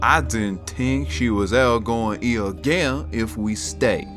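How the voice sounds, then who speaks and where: A man's voice: mid-range voice, measured pace, over music, close to an everyday voice. A man playing a plain-spoken, easygoing Cajun bayou fellow in an audio drama, with a folksy way of talking. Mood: matter-of-fact. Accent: Louisiana Cajun.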